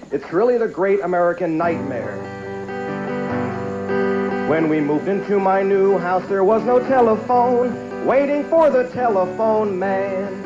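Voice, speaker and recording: Male standup comic, high-pitched and emphatic, drawn-out punch lines, roomy lo-fi recording. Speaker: standup comedian. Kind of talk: standup comedy routine. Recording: dull lo-fi echoey archive TV recording, music.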